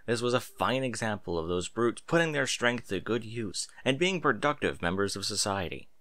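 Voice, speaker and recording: Expressive male storyteller, lowish and bright. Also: moderate pace, no room sound. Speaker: audiobook-style story reader. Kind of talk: expressive scripted narration of fan fiction.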